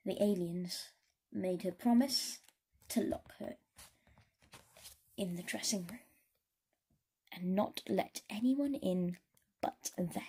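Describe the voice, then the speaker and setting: A woman storyteller giving an expressive read-aloud of a children's story. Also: medium pitch, slow, pauses often, slightly rough.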